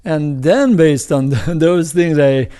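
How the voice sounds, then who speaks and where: A man with an Iranian accent, a film director speaking as an interview guest in a halting way, with many restarts, fillers and chuckles. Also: fairly high pitch, warm tone. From Iran. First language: Farsi. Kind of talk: casual interview talk.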